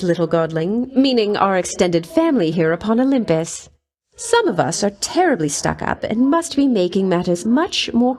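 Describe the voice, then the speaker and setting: An actress playing a flirtatious, doting goddess of love in a video game, with a coy, honeyed, theatrical delivery. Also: female voice, medium pitch, darkish tone, close to an everyday voice. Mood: sympathetic. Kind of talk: video game character voice lines.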